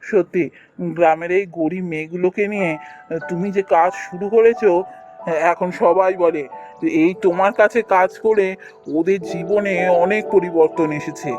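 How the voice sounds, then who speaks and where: Kindly, earnest village brother for a cartoon folktale, played by a man: very high male voice, emotional delivery, music underneath. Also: normal speed, lo-fi sound, lightly acted. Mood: relaxed, friendly. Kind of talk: Bengali fairy-tale cartoon dubbing.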